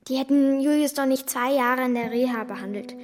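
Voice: sits high, a little fast, over music, lightly acted. A young performer playing a frank, resolute girl with a blunt, reassuring manner in a German children's radio drama. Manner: blunt, matter-of-fact, reassuring. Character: frank, resolute girl, the boy's protective companion.

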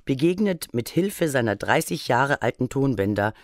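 A man's voice: medium pitch, lively intonation, normal speed, dry recording. A man reading scripted documentary narration for a radio feature in a studio.

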